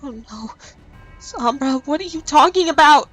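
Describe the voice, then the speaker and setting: A woman voicing a caring, earnest young unicorn mare who pleads gently in a comic fandub. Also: high-pitched, animated, unhurried, faint music behind, rough recording. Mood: pleading. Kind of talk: animated comic fandub acting.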